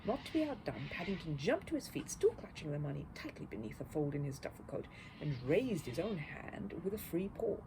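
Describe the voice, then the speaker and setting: Female storyteller, expressive children's book narration, highly varied pitch, clear background noise. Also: a little fast.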